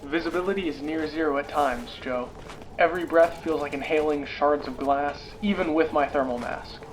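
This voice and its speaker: Guy doing earnest war correspondent in a sci-fi radio drama, voice a bit high, some ambient noise behind. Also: moderate pace, natural voice. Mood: grave, resolute. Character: earnest, gritty male war correspondent at frozen front. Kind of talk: wartime radio field report.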